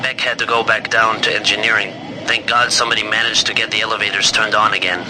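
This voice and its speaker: Male actor, devoted survivor, plain-spoken, encouraging, slightly low voice, game audio log, noisy. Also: level tone, fast talker, lightly acted. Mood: relieved. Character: devoted survivor urging his lover to escape.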